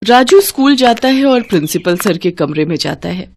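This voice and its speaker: Female storyteller for children's devotional tales, medium-pitched, scripted narration. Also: lively intonation, moderate pace.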